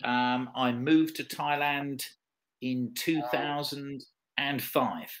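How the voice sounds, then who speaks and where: A man's voice: mid-range voice, fairly even intonation, slow, fairly bright tone. A man in his fifties from England speaks with an English accent and a mid-range voice. He is tutoring one-to-one in a conversational way. He often repeats his questions and phrases so a learner can follow. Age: 50s. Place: online video lesson call.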